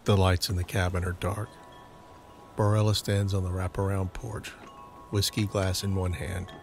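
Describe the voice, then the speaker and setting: A man's voice: low-pitched, flat delivery, moderate pace, a little mellow. A man reading scripted scene narration for an audio drama. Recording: clearly audible background noise.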